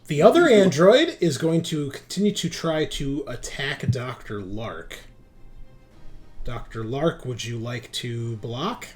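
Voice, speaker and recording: A man's voice: mid-range voice, animated, slow, soft background music. Casual male game master narrating. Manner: casual, colloquial, swearing. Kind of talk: narrating a tabletop roleplaying game.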